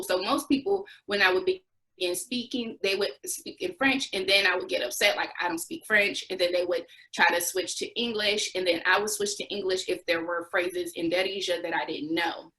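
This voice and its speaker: A woman's voice: on the low side, pushed and bright, rough recording. A young adult woman answering interview questions, recounting experiences as a former volunteer with restarts and occasional laughs.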